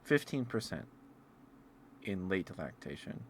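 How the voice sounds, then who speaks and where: A man streaming a casual, rambling ASMR chat, speaking in a halting, musing way as if thinking aloud. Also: low voice, sing-song, a little hiss or hum. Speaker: livestreamer.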